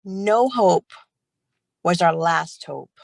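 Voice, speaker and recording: A woman's voice: lowish voice, expressive, very slow, creaky, no echo, studio quality, full character voice. A woman playing a grieving, resolute young warrior in a solemn, declamatory table read. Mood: solemn resolve. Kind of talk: amateur table read of a film script.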